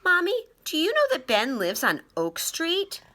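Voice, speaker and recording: A woman voicing an excited, stubborn little girl in a children's storybook, with an eager, insistent delivery. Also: very high-pitched, a little slow, pitched above the performer's own voice, exaggerated voice.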